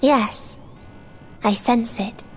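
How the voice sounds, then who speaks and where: A woman's voice: a little high, drawn-out words. A woman voicing an ominous, sorrow-weary celestial being with cold serenity in a dramatic video game. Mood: mournful, cold. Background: loud music.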